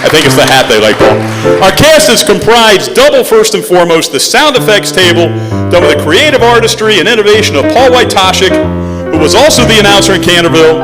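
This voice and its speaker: A man's voice: high-pitched, big pitch swings, fairly quick. A man hosting a live stage show, giving informal, jokey and effusive thanks and announcements into a microphone. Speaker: show host thanking cast and audience. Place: stage in a large hall, at a microphone. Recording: echoey hall stage recording with music.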